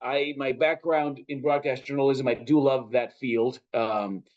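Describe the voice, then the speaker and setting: A man's voice: mid-range voice, fairly even intonation, a little slow, a little mellow, muffled recording. A man with an American accent giving expansive, articulate answers as an interview guest, in a conversational style. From Chile and Southern California. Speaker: interview guest, travel editor and broadcaster.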